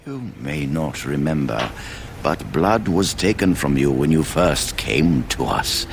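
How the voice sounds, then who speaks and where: Very deep, gravelly old head mage. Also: male voice, lively intonation, slight background noise, exaggerated voice. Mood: solemn, kindly. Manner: slow, deliberate, formal. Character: elderly male head mage, wry, patient, fatherly. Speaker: video game character. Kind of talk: measured video game dialogue acting.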